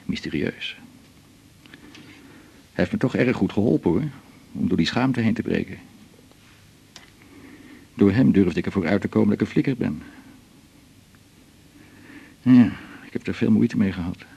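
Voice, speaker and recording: An actor playing a melancholy man in his forties in a radio drama, with a gravelly, husky voice, confiding in a halting, reflective way. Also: lowish voice, mellow, heavily acted. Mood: confiding, troubled. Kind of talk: naturalistic radio drama dialogue.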